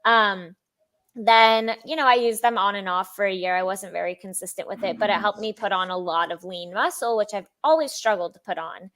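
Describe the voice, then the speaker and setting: A young woman with a high-pitched voice, telling her story as an interview guest in a chatty way, laughing often and acting out quotes. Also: fairly quick, fairly bright tone. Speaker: sponsored athlete guest.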